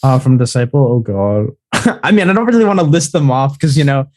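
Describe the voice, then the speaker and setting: A man's voice: medium pitch, lively intonation, brisk, darkish tone, no room sound. A man who produces music, chatting in a laid-back way as a podcast guest and laughing easily. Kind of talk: casual chat.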